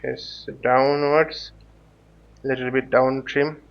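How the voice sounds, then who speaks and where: A man hosting a flight simulator tutorial, thinking aloud in short, halting phrases as he casually walks through what he is doing. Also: medium pitch, level tone, clean tone. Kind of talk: casual spoken walkthrough while flying a simulator. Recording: dull, lo-fi microphone sound.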